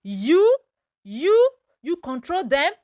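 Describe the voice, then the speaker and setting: A woman voicing an aggressive male hunter chief with forceful exclamations in a storybook folktale. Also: sits high, very animated, slow, no echo, highs cut off, pitched above the performer's own voice, exaggerated voice. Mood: frightened.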